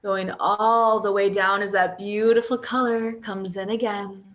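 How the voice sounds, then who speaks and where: A woman guides a sleep meditation at a very slow, drawn-out pace. Her mid-range voice stays soft and soothing, and it often sinks almost to a whisper. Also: medium pitch, flat delivery.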